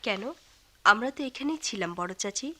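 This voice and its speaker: Earnest, bright-voiced young daughter. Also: female voice, on the high side, animated, normal speed. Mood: hurt, pleading. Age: young adult. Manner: earnest, reproachful. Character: firm, proud young orphaned daughter. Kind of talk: Bengali radio play acting.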